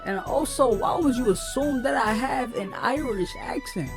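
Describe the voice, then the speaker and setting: A sassy leprechaun played by a woman in a comedy skit, with a fairly high voice. The delivery is slangy and indignant, like an offended sports fan, over clearly audible music. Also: moderate pace, natural voice.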